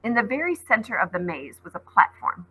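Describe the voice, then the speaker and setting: A woman's voice: medium pitch, big pitch swings, a little fast, pushed and bright, breathy edge, rough recording. A woman teacher reading a story aloud dramatically, with conversational asides to explain it.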